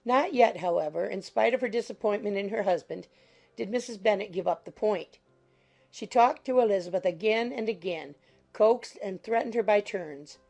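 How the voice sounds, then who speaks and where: A woman narrates a classic novel in a scripted, literary style. Her mid-pitched voice has plenty of creak, and soft music plays faintly behind her. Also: moderate pace. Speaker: audiobook narrator.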